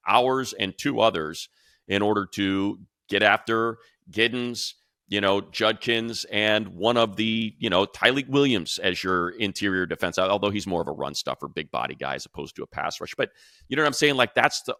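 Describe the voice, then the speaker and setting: A man's voice: lowish voice, normal speed, pushed and bright, no room sound, studio quality. A man speaking as a sports show host, giving casual, conversational analysis in an emphatic, rambling way.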